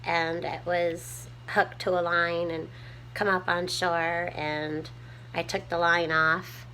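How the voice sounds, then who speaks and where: A woman with a small, soft voice, chatting casually to her viewers from home with lots of ums while she tidies up. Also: lowish voice, little pitch movement, slow pace, a little hiss or hum, poor audio. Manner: rambling, many ums, homespun talk. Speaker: prepping vlogger. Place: home room, tidying a table.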